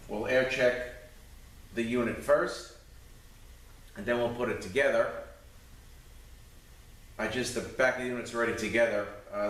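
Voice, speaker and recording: Low-voiced man in an echoey room. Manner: informal, step-by-step explaining. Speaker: transmission mechanic demonstrating repair. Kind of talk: hands-on instructional walkthrough. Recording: roomy echoing space, distant mic, faint noise.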